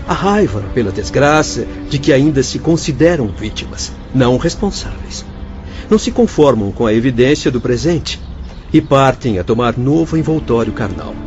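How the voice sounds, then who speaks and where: A man's voice: mid-range voice, very animated, moderate pace, darkish tone, natural voice. A Brazilian man acting in a radio drama as an earnest spirit mentor, explaining things formally and seriously. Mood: serious, explanatory. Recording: dull audio with music behind.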